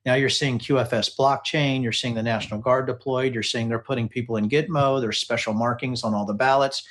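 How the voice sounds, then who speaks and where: A man's voice: medium pitch, steady tone, a little fast, fairly bright tone. A man hosting a casual live political discussion, talking emphatically and often repeating phrases to drive his point. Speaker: host commentator analyzing political text messages.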